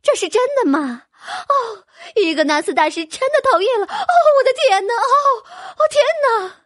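A woman voicing a haughty noblewoman who gushes in shrill exclamations. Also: big pitch swings, normal speed, a bit bright, no echo, studio quality, exaggerated voice. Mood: gushing. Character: haughty plump noblewoman turned gushing.

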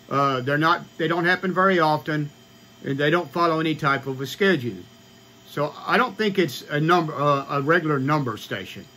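A man in his sixties with an American accent, explaining informally to camera in a halting, matter-of-fact way. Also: on the high side, pushed and bright, slight background noise. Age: 60s. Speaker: ham radio vlogger. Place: small home radio room, indoors.

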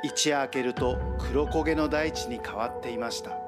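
A man's voice: medium pitch, normal speed. A man reading scripted narration for a television news report as its reporter. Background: background music.

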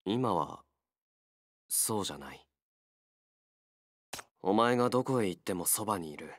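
A blunt, wary feral young fighter. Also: male voice, fairly low pitch, animated, a little hiss or hum. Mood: subdued, earnest. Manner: blunt, terse, halting speech. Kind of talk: Japanese game character voice acting.

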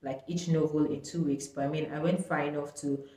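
A woman's voice: deep voice, fairly even intonation, brisk, warm tone. A young woman talks casually to camera, filling her sentences with "like" and "um". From Nigeria. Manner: chatty, sprinkled with "like" and "um". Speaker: vlogger. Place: small bright room indoors. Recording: echoey room.

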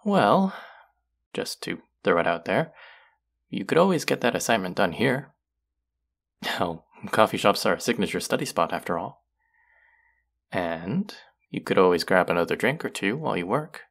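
A man's voice: low-pitched, big pitch swings, moderate pace, creaky, pauses often, fairly bright tone, full character voice. A man voicing a friendly, teasing young male barista in an audio roleplay, chatty and playful toward a regular customer.

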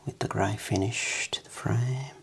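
A man performs an ASMR optician roleplay in a breathy whisper with some gravelly creak. He takes his time, speaking very slowly and gently, with a reassuring running commentary on his handiwork. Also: medium pitch.

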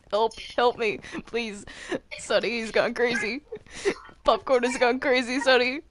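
A woman in improvised in-game roleplay chat, excitable and stammering, often repeating her phrases. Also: a little high, a little slow, background noise. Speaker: Minecraft roleplay player.

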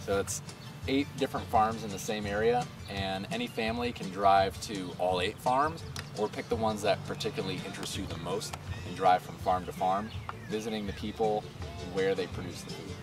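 A man's voice: low voice, animated, normal speed. A man in his thirties speaking as a farmer in a casual outdoor interview, conversational and earnest. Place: open farm field outdoors. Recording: distant outdoor camera mic, music underneath.

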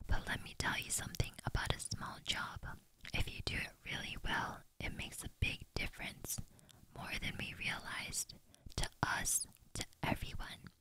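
A woman's voice: moderate pace, lightly acted, rough recording. A woman whispering the part of an earnest young male bee giving a rallying speech. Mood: rousing.

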